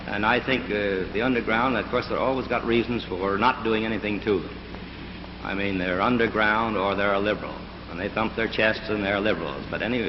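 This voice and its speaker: A fast-talking American man with a lowish voice gives blunt, sardonic testimony. The sound is an echoing hall on noisy old film. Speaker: witness at a congressional hearing. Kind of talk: opinionated spoken testimony. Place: large indoor hearing room. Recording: echoey hall, dull noisy 1940s film.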